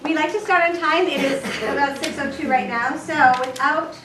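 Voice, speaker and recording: A high-pitched woman addresses an audience informally and haltingly as organiser of a children's show. She is some way from the microphone, in an echoing room. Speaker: children's theatre programme organiser introducing a show. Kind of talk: informal welcome speech to an audience. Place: community building hall, before an audience. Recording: echoey room, distant microphone, noisy.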